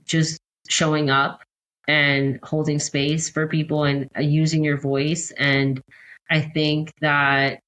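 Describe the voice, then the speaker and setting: A woman's voice: deep voice, little pitch movement, slow, fairly bright tone, rough recording. An American woman speaking as an advocate and interview guest, explaining things in a conversational way. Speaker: podcast guest, court-watch advocate.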